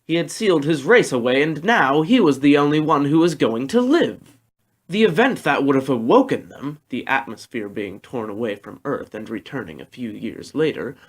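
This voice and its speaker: A man narrating a science fiction novel in the style of an audiobook, reading from a script. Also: medium pitch.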